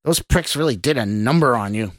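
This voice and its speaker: A man's voice: mid-range voice, animated, brisk, no echo, clean studio sound, close to an everyday voice. A man voicing a taunting, menacing male stranger in an audiobook, snarling and mocking with scorn. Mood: irritated, snarling. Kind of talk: audiobook character voice.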